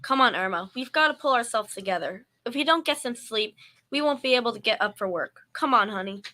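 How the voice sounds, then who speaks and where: Bright-voiced, sarcastic young roommate played by a woman. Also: on the high side, expressive, fairly quick. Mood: dry, sarcastic. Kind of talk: 1940s radio comedy acting.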